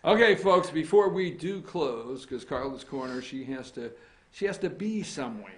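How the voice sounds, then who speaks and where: A man's voice: fairly high pitch, lively intonation, moderate pace. A man hosting a casual morning talk show, teasing his co-host and steering the chat. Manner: teasing, banters and interrupts.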